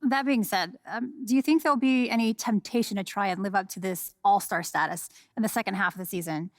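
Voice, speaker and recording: Actress as composed mid-pitched sports reporter. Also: female voice, brisk, no room sound, lightly acted. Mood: composed, probing. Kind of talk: press conference questioning.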